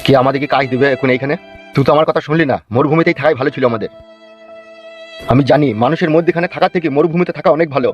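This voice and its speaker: A man's voice: on the high side, very fast, natural voice. A man voicing a grumbling, destitute uncle in a comedy cartoon, blunt and melodramatic, with a Bengali accent. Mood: bitter, grumbling. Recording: music behind the voice.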